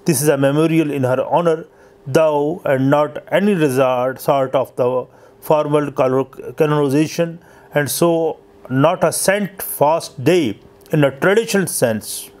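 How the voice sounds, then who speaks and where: A man reading a scripted history narration as host, in a halting, stumbling way. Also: fairly high pitch, darkish tone.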